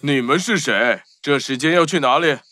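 A man's voice: mid-range voice, moderate pace, loud music behind the voice, lightly acted. A man voicing a stern old male duck security guard in a children's cartoon.

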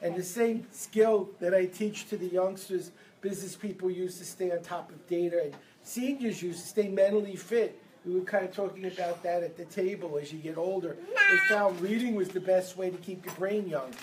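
A man from Brooklyn, giving a lively motivational seminar talk and getting the audience to answer back. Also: sits high, moderate pace, few pauses, a crowd in the background, low-quality recording. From Brooklyn, New York. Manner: energetic, leads audience call-and-response. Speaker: seminar speaker. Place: event room with a live audience.

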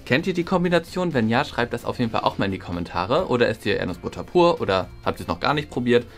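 A man's voice: mid-range voice, big pitch swings, quick pace. Young man chatting over music. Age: 20s. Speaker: vlogger. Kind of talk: casual chat, shopping haul review. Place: small indoor room. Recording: music behind the voice. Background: background music.

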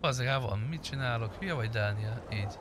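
Man in casual gaming banter, very noisy. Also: mid-range voice. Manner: blunt, swearing. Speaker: gamer in a group roleplay session.

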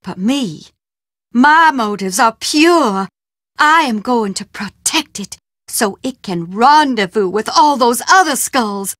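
A secretive, mystical housekeeper who schemes in a drawling, theatrical way,. Her pitch sits fairly high and swings widely. The read is close and dry, with no room sound. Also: lively intonation, slow pace, a bit bright, dry recording, full character voice. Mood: gloating, scheming. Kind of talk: video game voice acting.

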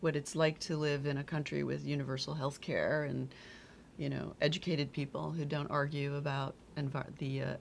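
A woman's voice: low voice, flat delivery, normal speed, gravelly, a little hiss or hum. A woman who grew up in Greece, co-hosting a talk in a studio and chatting in a friendly, affirming way. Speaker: co-host. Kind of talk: casual interview talk. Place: indoor studio with green screen. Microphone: desk microphone.

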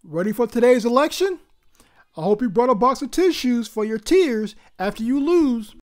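A woman voicing a taunting schoolboy bully in a children's storybook, loud and mocking. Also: a little high, expressive, moderate pace, close to an everyday voice.

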